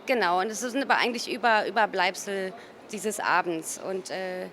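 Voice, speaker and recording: A woman from Cologne speaking informally as a gallerist in an interview, often pausing mid-sentence to search for her words. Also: medium pitch, fairly bright tone. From Cologne, Germany. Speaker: gallerist presenting artworks. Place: busy exhibition hall at an art fair. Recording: noisy art fair ambience. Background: ambient fair noise.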